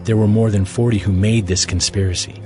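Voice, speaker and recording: Deep male Bible narrator. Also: fairly even intonation, fairly quick, over music.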